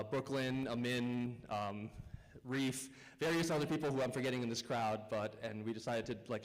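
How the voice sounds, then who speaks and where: A man from Thailand, a filmmaker, speaking casually and rambling a little at a festival Q&A on a theater stage. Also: mid-range voice. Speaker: filmmaker guest. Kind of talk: answering questions at a festival Q&A. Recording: reverberant hall sound.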